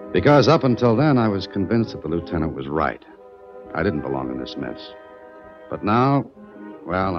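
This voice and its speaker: Casting: a deep, dry-witted detective narrator, old lo-fi radio drama. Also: male voice. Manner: dry, wisecracking. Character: hard-boiled male writer-detective, calm and wry. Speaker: narrator and lead. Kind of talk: 1940s radio drama acting. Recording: dull old radio recording.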